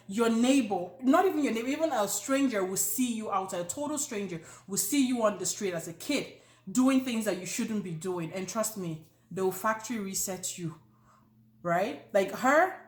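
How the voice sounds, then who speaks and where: A woman with a Nigerian accent, co-hosting a casual chat, joking and animated with many fillers. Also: a little high, normal speed. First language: not Yoruba. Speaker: co-host. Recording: echoey room.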